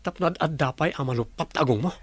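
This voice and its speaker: An actor playing a polite, welcoming resort manager in a radio drama. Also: male voice, a little high, fast talker, no room sound, natural voice. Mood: stern.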